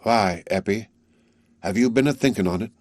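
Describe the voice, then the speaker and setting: A man reading aloud, voicing an old, lonely village weaver who talks to his daughter in a slow, subdued, tender way. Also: fairly low pitch, a little mellow, dry recording, clean studio sound, natural voice.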